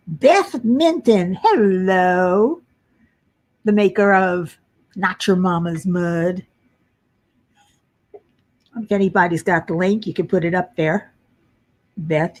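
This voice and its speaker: A middle-aged woman with a low voice, tired from lack of sleep, chatting casually to viewers as host of a crafting livestream. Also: slow pace, long pauses, darkish tone. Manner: chatty, muttering while searching for pieces. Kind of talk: casual live chat while diamond painting.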